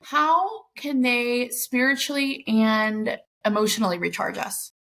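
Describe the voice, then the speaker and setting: A woman's voice: fairly high pitch, unhurried, creaky, fairly bright tone. A woman hosting a podcast interview, bubbly and enthusiastic in her questions. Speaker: podcast host.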